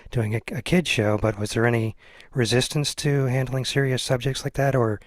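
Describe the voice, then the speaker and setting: A man's voice: mid-range voice, fairly even intonation, moderate pace, no room sound, studio quality. A man interviewing a guest casually, in a tentative and hesitant way. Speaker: podcast interviewer.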